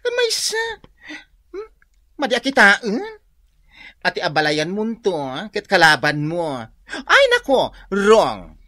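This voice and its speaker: Gossipy Filipino salon friend in radio drama: sing-song, animated, slow-paced, very dry sound. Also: female voice, medium pitch, no room sound, full character voice. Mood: teasing concern.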